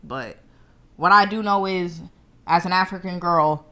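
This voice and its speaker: A woman's voice: on the low side, a little slow, pushed and bright. A young woman from the United States hosting her own show, giving blunt, confident commentary straight to camera. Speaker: show host.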